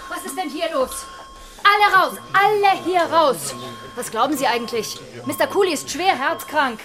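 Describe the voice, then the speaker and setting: A woman's voice: sits high, expressive, normal speed, over music. An actress playing a stern woman who orders visitors out in a radio play. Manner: commanding.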